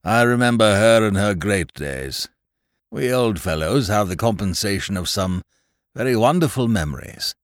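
A man's voice: lowish voice, animated, normal speed, dry recording, clean studio sound, pitched above the performer's own voice, lightly acted. A man voicing a courtly, old-fashioned elderly English solicitor in an audiobook, mild and genial, with an English accent and a habit of repeating his phrases. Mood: wistful, reflective. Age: older. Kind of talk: audiobook character voice.

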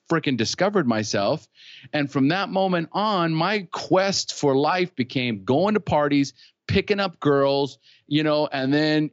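A man's voice: a little high, no echo. A middle-aged man from California, a podcast guest, telling his own story frankly and with lots of feeling. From California, United States. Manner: animated, frank, laughs easily. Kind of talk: candid conversational storytelling. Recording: dull lo-fi sound.

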